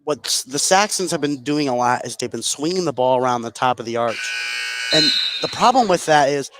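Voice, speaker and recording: A man's voice: mid-range voice, animated, brisk, fairly bright tone, dry recording, low-quality recording. A man working as a color commentator, explaining a live basketball game in a conversational way.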